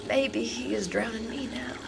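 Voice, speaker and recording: A woman acting a radio play monologue as a dreamy, unsettled woman obsessed, intimate and confessional, often slipping into breathy whispers. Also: on the high side, normal speed, noisy background. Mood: feverish, entranced. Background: music and sound design.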